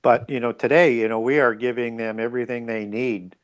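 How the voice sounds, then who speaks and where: A man's voice: mid-range voice, steady tone, fairly quick, smooth. A man speaking as an interview guest, explaining his views in a conversational, musing way.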